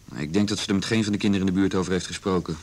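An actor playing an earnest young son in a radio drama, telling what he witnessed in a halting, sincere, plain-spoken way. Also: low-pitched, a little mellow. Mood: matter-of-fact. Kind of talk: naturalistic radio drama dialogue.

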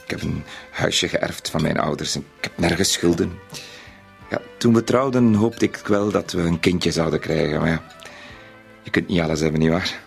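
Casting: meek Flemish bookkeeper, low creaky voice, self-effacing, courteous, radio drama. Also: male voice, moderate pace, faint music behind. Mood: earnest, confiding. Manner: hesitant, polite, self-effacing. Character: timid, naive, modest married bank bookkeeper.

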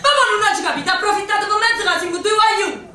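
An actress playing a spirited young woman in a bomb shelter, sharp-tongued with a singsong lilt and a Neapolitan accent. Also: very high-pitched, animated, fast talker, a faint crowd, full character voice. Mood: teasing. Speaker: stage actor. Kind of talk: theatrical radio play acting. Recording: echoey distant stage recording with music.

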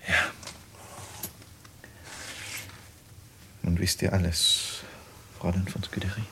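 An actor playing a despairing, bitter young craftsman accused of murder, in a theatrical 1950s radio play style, swinging between hushed and fervent. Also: low-pitched, level tone, moderate pace, gravelly, a little hiss or hum, full character voice. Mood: grave, resigned.

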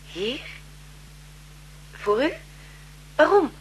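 An actress playing a polite, gossipy governess who eagerly serves a marquise, in a 1970s Dutch radio drama. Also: female voice, high voice, sing-song, extremely slow, a little hiss or hum, heavily acted, rough recording. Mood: dutiful, puzzled. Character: deferential, chatty, gossipy governess to a marquise's children.

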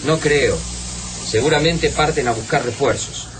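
An actor with an Argentine accent playing an analytical, urgent resistance survivor in a radio drama. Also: male voice, medium pitch, a little slow, close to an everyday voice. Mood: urgent warning. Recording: clearly noisy background ambience.

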